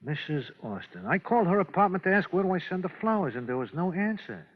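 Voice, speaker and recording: A man's voice: sits high, big pitch swings, quick pace. A man playing a slick, sardonic gambler in an old radio crime drama, with a mocking, insinuating way of talking. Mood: mock sympathy. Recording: dull old radio broadcast recording.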